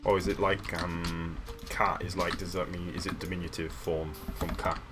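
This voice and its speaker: A man in his thirties chatting casually on a live stream as he builds in a game, halting and full of fillers and restarts. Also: deep voice, little pitch movement. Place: small room at home. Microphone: desk mic at a computer. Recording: background music under the voice.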